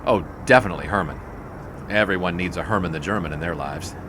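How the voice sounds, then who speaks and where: An actor playing a wry, teasing young sportswriter in a 1920s audio drama, with dry banter. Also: male voice, sits low, fast, noisy background, lightly acted. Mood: fond, wry. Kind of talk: period audio drama acting.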